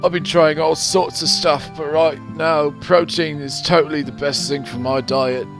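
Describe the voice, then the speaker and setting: A man's voice: on the high side, steady tone, normal speed, over music, close to an everyday voice. A man voicing a perky, chubby young saleswoman in a visual novel, with peppy, emphatic slangy speech. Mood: perky, chatty.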